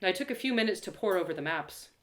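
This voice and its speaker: A woman voicing an excitable, plucky young girl adventurer who narrates her quest with dramatic, colloquial asides. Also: on the low side, fast talker, reverberant, lightly acted. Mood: musing, deflated. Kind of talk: first-person dramatic story narration.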